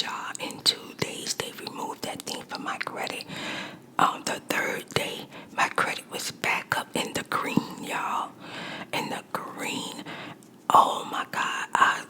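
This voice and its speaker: A woman's voice: a little slow, pushed and bright. A woman whispering throughout as she tells a personal story while doing her makeup. She repeats phrases for emphasis and talks straight to her viewers. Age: adult mother. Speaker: makeup vlogger.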